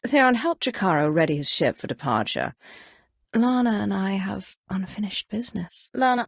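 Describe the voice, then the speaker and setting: An imperious, gravelly Sith inquisitor. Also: female voice, fairly low pitch, dry recording, clean studio sound, exaggerated voice. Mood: measured. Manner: commanding, haughty, deliberate dramatic delivery. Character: imperious, menacing female Sith inquisitor, cold and cunning. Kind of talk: video game dialogue acting.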